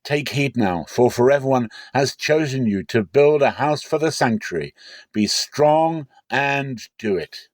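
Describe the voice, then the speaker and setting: A man's voice: mid-range voice, animated, unhurried, no room sound, studio quality, close to an everyday voice. A man playing an aging, fatherly king of Israel, solemn and commanding, in a declamatory scripture reading. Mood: earnest, commanding. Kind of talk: dramatic scripture storytelling.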